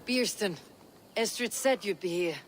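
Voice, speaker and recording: Viking shield-maiden played by a woman: steady, wry, measured game acting, faint ambience behind. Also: mid-range voice, slight background noise, close to an everyday voice. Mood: wry, cautious. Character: hardy young adult female Viking warrior, steady, wry. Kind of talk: video game cutscene acting.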